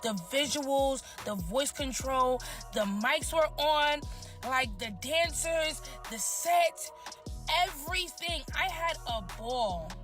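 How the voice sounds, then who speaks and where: A woman with a high, bright voice chats casually and tells a story with lots of slang and expression. Music plays clearly behind her. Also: expressive, takes it slowly. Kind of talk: casual chat.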